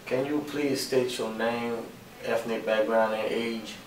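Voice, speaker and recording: A man's voice: mid-range voice, fairly even intonation, takes it slowly, a little hiss or hum. A man interviewing a guest, asking polite, plainly worded questions. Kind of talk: casual student interview. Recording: echoey room from a distance.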